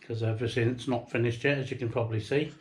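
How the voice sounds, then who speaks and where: A man's voice: medium pitch, fairly even intonation, fast. Noisy handheld recording, middle-aged British man. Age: 50s. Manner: chatty, unpolished, rambling. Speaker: hobbyist video maker. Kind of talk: casual handheld show-and-tell. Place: outdoors beside a house, breezy. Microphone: handheld camera or phone.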